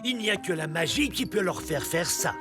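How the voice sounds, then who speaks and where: Calm toy sidekick for a cartoon, voiced by a woman, encouraging, music behind. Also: fairly low pitch, normal speed. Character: calm toy friend, supportive. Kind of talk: dubbed cartoon acting.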